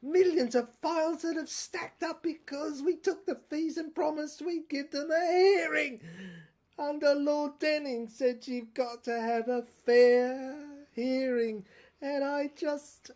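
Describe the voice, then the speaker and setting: A woman playing an agitated, despairing corporate official in an audio drama, strained and volatile, lurching between hushed and loud. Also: high voice, slow pace, pitched above the performer's own voice. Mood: exasperated ranting.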